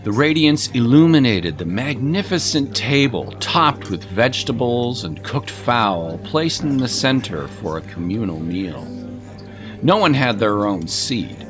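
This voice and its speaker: A man narrating a scripted story in a measured, literary and expressive dramatic style. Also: medium pitch, music in the background.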